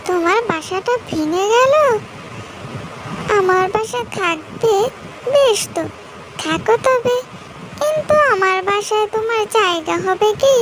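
Performer as a very high-pitched scolding little bird. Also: animated, unhurried, long pauses. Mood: doubtful. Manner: chiding, admonishing. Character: small prudent tailorbird, scolding but grudgingly helpful neighbour. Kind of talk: children's cartoon story dialogue. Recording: clearly noisy background.

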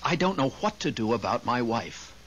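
A man's voice: medium pitch, big pitch swings, moderate pace, a bit bright. A man acting in a 1970s radio drama as the earnest, flustered spirit of a newly dead husband, eager and stammering. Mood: earnest, troubled. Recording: dull, hissy old radio recording.

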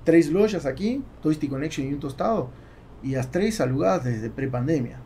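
A middle-aged man, a native Spanish speaker with a South American accent, talking casually and haltingly as an interview guest. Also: a little high, expressive, mellow, a little hiss or hum. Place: small studio with acoustic foam, indoors. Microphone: podcast microphone on boom arm.

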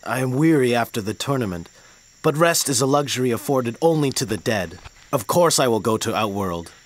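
An actor playing an earnest, weary young martial arts champion in a video game, sober and respectful. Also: male voice, mid-range voice, animated, a little fast, natural voice, poor audio. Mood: weary, resolute. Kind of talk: video game cutscene acting.

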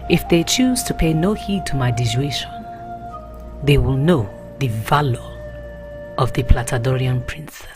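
A woman voicing a proud, resolute fantasy warrior princess in a solemn, measured, regal monologue. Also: rumbling low, over music. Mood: solemn resolve. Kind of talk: dramatic fantasy trailer monologue.

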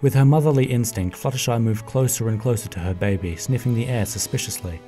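A man narrating a scripted story in an expressive, dramatic way. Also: medium pitch, fast talker, soft background music.

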